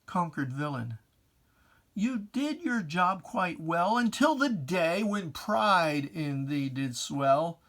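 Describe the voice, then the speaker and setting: Poetry reader, solemn and theatrical, very measured pace, mid pitch, for dramatic religious verse. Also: very animated, fairly bright tone. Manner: solemn, theatrical, drawn-out phrasing.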